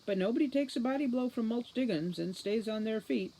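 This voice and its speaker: A woman's voice: fairly high pitch, brisk, warm tone, pitched above the performer's own voice, low-quality recording. A crude little male dwarf thief from a fantasy storybook, put on by a woman reader. He is cocky and self-serving, talking in a boastful, wry way. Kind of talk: audiobook children's fantasy character voice.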